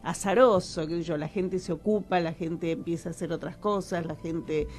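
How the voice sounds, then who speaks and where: A woman's voice: lowish voice, fairly even intonation, moderate pace, a little mellow. A woman with an Argentine accent, an actress and writer, talking casually as a radio guest in a rambling, hesitant way. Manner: rambling, conversational, hesitant with repeated words.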